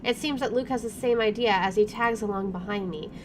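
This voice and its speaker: A woman hosting a let's play, reading game narration aloud and adding casual, expressive commentary. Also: medium pitch, fairly quick. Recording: clearly audible background noise.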